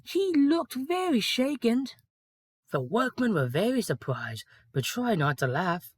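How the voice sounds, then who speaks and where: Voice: medium pitch, very animated, measured pace, no room sound, studio quality. A narrator reading a scripted children's story in storybook style.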